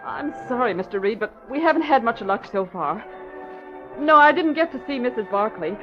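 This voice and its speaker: A woman's voice: a little high, expressive, moderate pace, music in the background, natural voice. An actress playing a proper, earnest young woman investigator in a 1940s radio drama. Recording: dull old radio recording.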